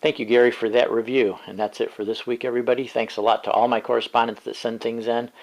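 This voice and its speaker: An older man hosting his home video show, talking in a relaxed, rambling way with many fillers, on a webcam in a small room. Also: medium pitch, quick pace. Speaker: show host. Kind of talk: casual video show talk.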